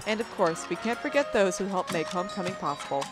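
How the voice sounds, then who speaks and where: A teenage student reporter reads a homecoming segment at a quick, peppy clip. Music is clear under the voice. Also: female voice, medium pitch, expressive. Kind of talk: scripted news narration.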